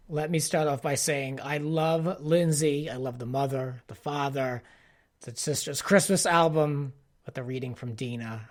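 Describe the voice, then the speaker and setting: A man's voice: medium pitch, no echo, clean studio sound. A man hosting a podcast in a casual, informal and encouraging way. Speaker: podcast host.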